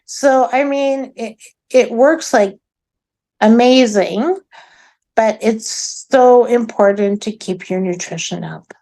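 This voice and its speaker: A middle-aged woman speaking as a guest in a casual chat. Her voice sits a little high and carries loudly. She talks slowly and warmly, drawing out her words. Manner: loud, friendly, drawn-out talk.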